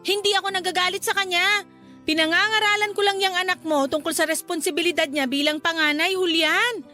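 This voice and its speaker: A woman's voice: very high voice, fairly even intonation, fairly quick, bright, forceful tone. A woman playing a stern, nagging, hardworking mother who scolds in exasperation, in a Filipino radio drama. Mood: exasperated. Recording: music bed behind the voice.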